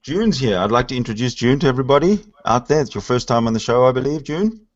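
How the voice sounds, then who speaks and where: A Canadian man hosting a casual panel talk, opinionated and animated, often stammering and restarting. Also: medium pitch, fast. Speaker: host. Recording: dull lo-fi audio.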